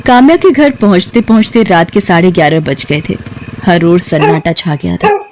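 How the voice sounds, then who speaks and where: A woman's voice: lowish voice, normal speed, background noise. A woman working as a narrator, reading a scripted story.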